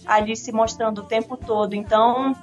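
A woman with a fairly high voice and a Manaus accent speaks in a casual interview. She is on a video call from a room, and music plays lightly behind her. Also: fairly even intonation, fairly quick, lo-fi sound. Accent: Manaus Brazilian Portuguese. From Manaus, Brazil. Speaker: interviewee, singer. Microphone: phone or computer on a video call.

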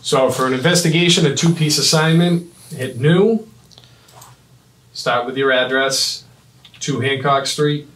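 A man in his thirties giving a step-by-step software demonstration in short, clipped instructional phrases. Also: mid-range voice, measured pace. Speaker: fire dispatch trainer. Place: small indoor office at a computer desk. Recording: roomy, echoing, mic at a distance.

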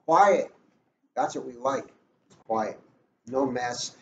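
Older man, mid-pitched, muffled recording. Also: lively intonation, slow pace, creaky, a bit bright. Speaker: police officer co-hosting. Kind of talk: casual chat. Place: indoors in a catering cafe. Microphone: webcam a short distance away. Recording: muffled, noisy webcam room recording.

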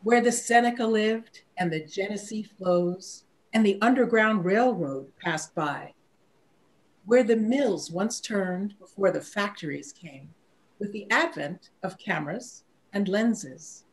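An older woman reciting poetry in a measured, declamatory manner. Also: medium pitch, poor audio. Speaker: poetry reader.

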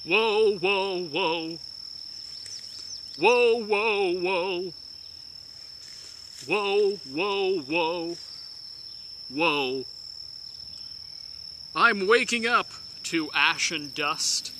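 A young man doing a slow, overly grand dramatic reading outdoors by the woods. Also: sits high, noisy background. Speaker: dramatic reader. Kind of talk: mock-dramatic reading of song lyrics.

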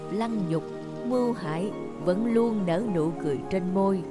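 A serene male calligraphy master voiced by a woman in a cartoon. He explains things in a measured, scholarly way. Music is clearly heard behind him. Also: medium pitch, lightly acted. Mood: calm, instructive.

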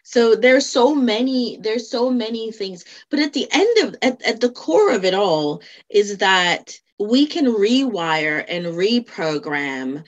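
A woman's voice: medium pitch, big pitch swings, takes it slowly, hardly any pauses, highs cut off. Emphatic middle-aged woman explaining. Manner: emphatic, repeats key phrases. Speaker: podcast guest, hypnotherapist and life coach. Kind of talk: explanatory conversational interview. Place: indoor room at home, on a video call. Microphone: video call.

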